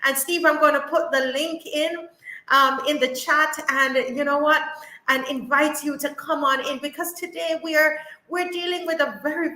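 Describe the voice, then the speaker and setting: Bright-voiced woman hosting. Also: sits high, echoey room, rough recording. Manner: earnest, animated, addresses viewers directly. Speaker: talk show host. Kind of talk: live-streamed talk show.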